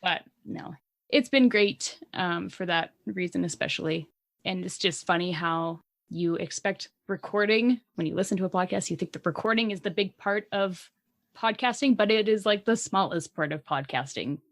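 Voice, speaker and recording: A woman's voice: fairly low pitch, moderate pace. A woman with a Canadian accent co-hosting a podcast in casual chat, giggly and self-deprecating. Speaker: podcast co-host.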